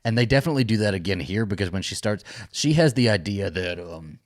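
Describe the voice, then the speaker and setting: A man's voice: lowish voice, expressive, fast talker. A man co-hosting a casual recap show, talking in an informal, slangy, joking way. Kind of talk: casual chat recapping a TV episode.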